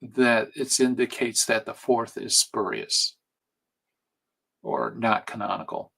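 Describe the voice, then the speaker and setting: A man talking quietly and soothingly as he lectures on a live stream from home at night. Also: lowish voice, fairly even intonation, takes it slowly, vocal fry, slightly rough. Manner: hushed, soothing delivery. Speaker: streamer teaching on Bible canon. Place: home at night, family asleep nearby. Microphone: streaming mic.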